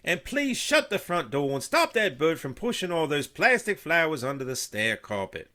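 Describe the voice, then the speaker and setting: A man voicing an exasperated housewife and mother in a storybook reading, shrill, indignant and full of complaint. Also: sing-song, moderate pace, pushed and bright. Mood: wailing, scolding. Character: exasperated, scolding housewife and mother, wailing about the bird.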